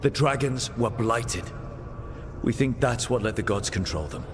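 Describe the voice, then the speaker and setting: A man's voice: medium pitch, animated, measured pace, natural voice. Man playing a blunt hero in reverberant, noisy space. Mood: serious, wary. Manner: plain-spoken, dry, challenging. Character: earnest, defiant young male hero, skeptical and blunt. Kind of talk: dramatic fantasy video game dialogue.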